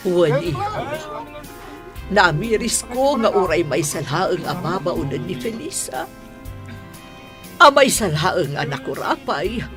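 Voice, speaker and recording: A woman's voice: fairly low pitch, very animated, slow pace, pauses often. A woman playing a kindly, affectionate mother in a radio drama, with an emotive delivery. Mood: affectionate, happy. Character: kindly, approving mother welcoming her daughter-in-law. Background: faint music.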